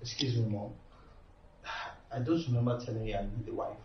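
An actor playing a defiant young son, who answers his mother harshly and shouts. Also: mid-range voice, brisk, roomy sound, far from the mic, muffled recording, a little hiss or hum, natural voice. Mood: cold. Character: angry young son refusing an arranged bride.

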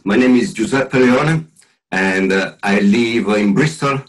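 Italian man in his forties, deep slightly raspy voice, relaxed joking guest on a video-call podcast. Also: poor audio. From Italy. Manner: jokey, chatty, with filler pauses. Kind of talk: casual interview talk. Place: home room, indoors.

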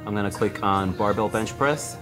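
A man in his thirties, a fitness vlogger, talking viewers through tips in a relaxed, explanatory way while filming himself in a large gym. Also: lowish voice, normal speed. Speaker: fitness YouTuber. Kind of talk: casual how-to vlog. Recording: music bed under voice. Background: background music.

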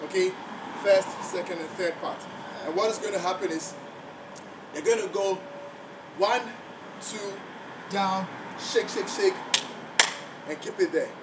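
A man's voice: high-pitched, measured pace, long pauses. Man counting dance steps, far from the mic. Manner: counts beats aloud, chants along with the song. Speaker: dance instructor. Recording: roomy, far from the mic, loud background noise.

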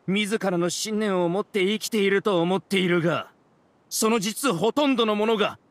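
An actor playing an arrogant mastermind villain in a video game, speaking with haughty, theatrical contempt. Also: male voice, high-pitched, no echo. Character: arrogant villainous male mastermind ruling a hive mind. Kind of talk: Japanese video game voice acting.

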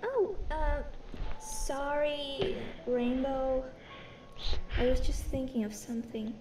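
A woman's voice: high-pitched, takes it slowly, background noise. A woman voicing a nervous, bashful young pony princess in a cartoon fan fiction, hesitant and anxious. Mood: flustered. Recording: echoey, distant mic under loud music.

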